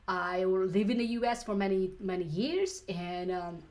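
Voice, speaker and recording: A woman from the Philippines with a Filipino accent, teaching an online course lesson in an earnest way, with frequent restarts and soft laughs. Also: mid-range voice, expressive, a little slow. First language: Filipino language. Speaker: online business coach.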